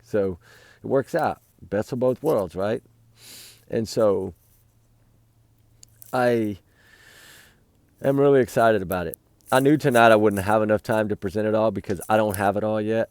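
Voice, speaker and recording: A man's voice: on the low side, vocal fry, darkish tone, dry recording. A man who is losing his voice, rambling earnestly to his viewers during a casual night talk outdoors by a campfire. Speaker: livestream host.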